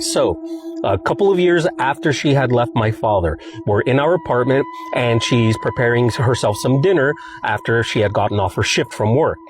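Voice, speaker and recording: Nasal, congested male storyteller, mid-pitched with a very lively intonation, casual.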